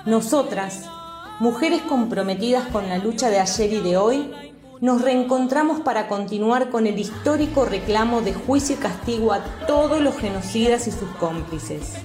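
Higher-pitched Argentine woman in a roomy echo. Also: lively intonation, normal speed. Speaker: activist reading a manifesto. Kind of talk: scripted declaration. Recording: roomy echo, music behind.

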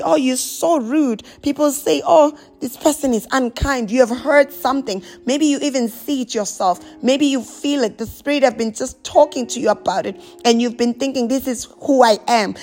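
A woman preacher delivering a charismatic sermon and prayer, exhorting with insistent short repeated phrases and breaking into tongues. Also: high-pitched.

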